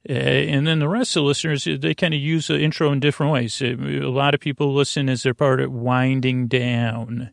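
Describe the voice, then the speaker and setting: A man with a gravelly voice hosting a sleep podcast in a meandering, halting monologue, often interrupting himself and drifting off on tangents. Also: mid-range voice, little pitch movement, dry recording, studio quality. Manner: meandering, halting, digressive, trailing off and self-interrupting. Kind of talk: rambling bedtime podcast monologue.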